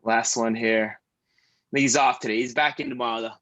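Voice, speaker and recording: Bright, forceful male fitness trainer. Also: mid-range voice, moderate pace, dull audio. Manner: upbeat, joking, calm breathing cues. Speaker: fitness trainer leading a stretch class. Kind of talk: guided stretching class with casual chat.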